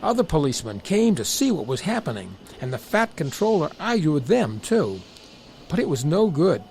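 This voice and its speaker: A man narrating a scripted children's story as an expressive storyteller. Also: a little high, normal speed, creaky, a little hiss or hum.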